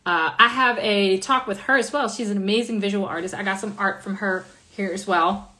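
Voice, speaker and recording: A bright, clear-toned woman in her thirties, raised in the Netherlands but with a slight American accent. She chats casually and warmly to her live-stream audience, upbeat and talkative as she answers their questions. She is recorded on a phone at arm's length in a small room. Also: mid-range voice, fairly quick. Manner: chatty, upbeat, reads out viewer comments. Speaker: live-stream interview host. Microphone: phone camera at arm's length.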